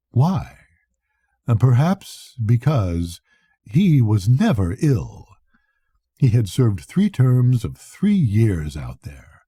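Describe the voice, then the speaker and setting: An older man reads a classic novel aloud as a storyteller, in a measured, reflective bedtime manner with a soft, husky voice. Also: on the low side, no echo, clean studio sound. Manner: measured, reflective first-person narration. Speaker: storyteller reading to grandchildren. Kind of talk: expressive bedtime audiobook reading of a classic novel.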